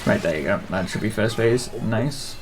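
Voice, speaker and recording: A man's voice: fairly low pitch, normal speed. A man playing a game live and casually narrating his own run to fellow commentators. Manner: relaxed, narrates own play.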